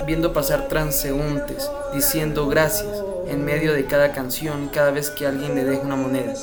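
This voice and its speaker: Guy narrating a report in an even, measured read, somewhat high voice, guitar music loud behind him. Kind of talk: scripted narration.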